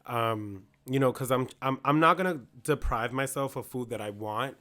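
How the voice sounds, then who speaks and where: A hoarse man co-hosting a podcast, chatting candidly about his week and quoting himself in asides. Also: mid-range voice, moderate pace, pushed and bright. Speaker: podcast co-host. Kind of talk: casual chat.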